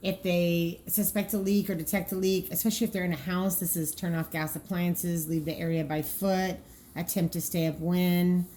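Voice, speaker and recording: A woman's voice: lowish voice, little pitch movement, normal speed, dark tone, a little hiss or hum. A woman giving an informal walkthrough as a trainer, practical and explanatory, often thinking aloud. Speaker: trainer presenting draft dispatcher training cards.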